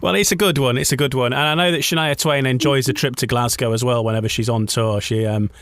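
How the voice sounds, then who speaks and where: Male radio interviewer, medium pitch, relaxed conversational delivery and encouraging manner, recorded dry. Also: quick pace, no room sound. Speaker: radio host. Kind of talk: casual radio interview chat.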